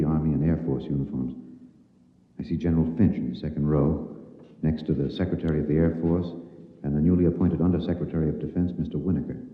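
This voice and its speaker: An actor playing a grave male news reporter giving solemn, measured live commentary in a 1950s radio drama. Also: a bass voice. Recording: tinny, echoey radio relay with long reverb.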